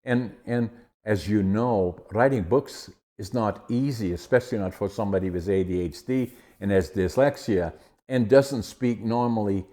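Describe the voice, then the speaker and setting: An older man from the Netherlands with a Dutch accent, telling his life story in an interview with animated restarts. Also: lowish voice, normal speed. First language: Dutch dialect. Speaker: guest. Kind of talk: conversational interview.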